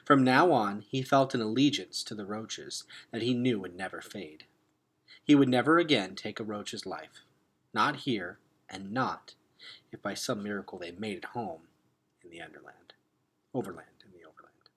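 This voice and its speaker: A man reading a children's novel aloud with expressive, dramatic emphasis, sometimes hushed. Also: on the low side, normal speed, slightly rough. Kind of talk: expressive storybook read-aloud.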